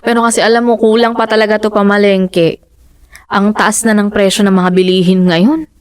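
A woman's voice: mid-range voice, fairly even intonation, normal speed, clean tone, no echo, clean studio sound, close to an everyday voice. An actress playing a practical, determined fisherman's wife and mother of four, who argues her point insistently in a radio drama. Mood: worried.

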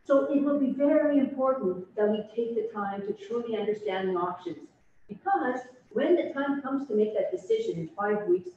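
Council chair, female, deliberate and semi-formal; dull distant room recording with faint noise. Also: on the high side, animated, warm tone, heavy reverb. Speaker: council chair addressing a municipal meeting. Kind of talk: semi-formal meeting remarks. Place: large indoor council meeting room. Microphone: distant conference camera microphone on video call.

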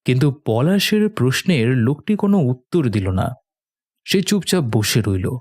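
A man's voice: medium pitch, big pitch swings, a little slow, a little mellow. A man narrating a scripted horror cartoon story in a dramatic storytelling style. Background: faint music.

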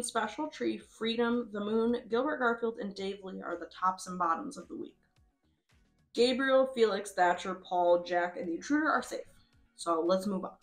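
A woman's voice: mid-range voice, fairly bright tone. A young woman giving casual, playful, slangy reaction commentary, announcing results like a pageant host. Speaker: host of a simulated reality competition. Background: music.

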